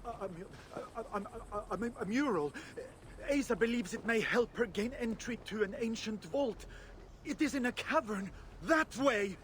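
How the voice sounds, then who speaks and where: A man's voice: high-pitched, normal speed, fairly bright tone. A man playing a timid, hesitant underling digger in a video game, nervous and stammering. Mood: frightened, stammering. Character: timid, stammering underling fire-giant digger, eager to appease. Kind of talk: video game cutscene acting. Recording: long echo, noisy game ambience.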